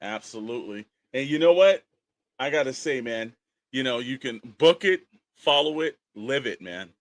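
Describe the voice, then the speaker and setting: A man's voice: medium pitch, lively intonation, normal speed, no echo. A man co-hosting a podcast with casual banter and easygoing ad reads. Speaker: podcast co-host.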